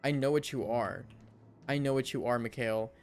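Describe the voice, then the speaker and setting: A man's voice: medium pitch, fairly even intonation, normal speed. A young man streaming a game, giving casual, chatty and playful live reactions. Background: game music.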